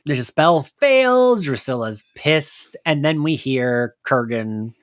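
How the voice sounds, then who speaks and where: A man's voice: medium pitch, slow, dry recording, clean studio sound. A man hosting a podcast, chatting casually and animatedly as he recaps a story. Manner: animated, chatty, quotes lines in playful voices. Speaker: podcast host.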